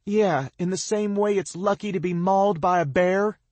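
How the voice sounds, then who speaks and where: Man voicing a panicked young woman, high and shrill, tearful, sobbing, dry close sound. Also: normal speed, a little mellow, no echo, close to an everyday voice.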